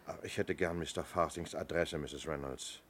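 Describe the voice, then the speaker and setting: An actor playing a methodical police inspector in a 1970s radio play, measured and probing, with small hesitant lead-ins to his questions. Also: a bass voice, steady tone, a bit bright. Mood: probing, polite. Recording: noisy old radio play recording.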